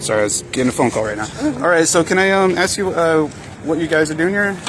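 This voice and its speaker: A man's voice: fairly high pitch, fast, a crowd in the background. A man speaking casually and politely as a citizen journalist doing street interviews, often hesitant and informal in his phrasing. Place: outdoors by a vaccination line. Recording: loud outdoor traffic and vehicle noise.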